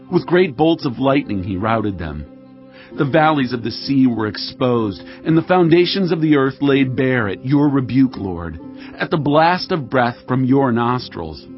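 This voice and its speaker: Fervent warrior king, dramatically expressive. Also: medium pitch. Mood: awed, dramatic. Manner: solemn, fervent, declamatory. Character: devout warrior king reciting a psalm of praise. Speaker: Bible voice actor. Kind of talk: dramatized scripture reading. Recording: dull audio with music behind.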